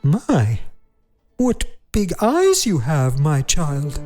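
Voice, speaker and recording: Frail, wheedling old granny for audio play: very slow, wildly swooping pitch, music underneath. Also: female voice, wildly swooping pitch, a little mellow, heavily acted. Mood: wondering. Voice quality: thin, weak, frail. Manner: slow, coaxing endearments. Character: thin, weak old grandmother in bed, wheedling and sweet. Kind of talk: audio drama acting.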